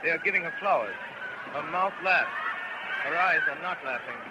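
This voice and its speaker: An actor playing a radio announcer who gives a tense eyewitness report in short, vivid, hushed and urgent phrases, in an old radio drama. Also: male voice, a little high, expressive, moderate pace, dull audio, close to an everyday voice. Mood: mounting tension. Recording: very noisy old radio recording.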